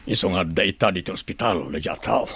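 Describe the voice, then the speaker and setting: Stern ilocano governor in a filipino radio drama, low husky voice, demanding. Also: male voice, a little fast, a bit bright, heavily acted. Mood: grave, resolute.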